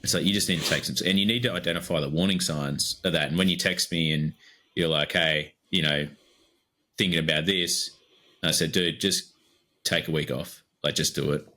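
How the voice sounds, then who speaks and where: A man's voice: a bass voice, fairly even intonation, moderate pace, sharp tone. An Australian man co-hosting a podcast, chatting casually and easily, with plenty of fillers as he rambles. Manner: easygoing, rambling, lots of fillers. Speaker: podcast co-host.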